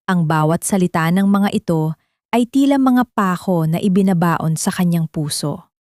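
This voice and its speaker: A woman's voice: fairly low pitch, animated, moderate pace, darkish tone, dry recording, studio quality. A woman narrating a scripted audio drama in a dramatic storytelling style.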